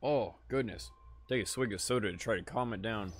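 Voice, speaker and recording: A man live-streaming a game in casual chat, tired and a little raspy, laid-back and swearing freely while talking to viewers. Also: on the low side, fairly quick, a little hiss or hum. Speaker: gaming livestreamer.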